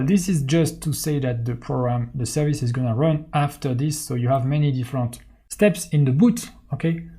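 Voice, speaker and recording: A man's voice: mid-range voice, a little mellow, breathy edge. A man teaching in an unscripted, step-by-step screencast tutorial, explaining as he goes and often checking in with a short okay or right. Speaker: instructor.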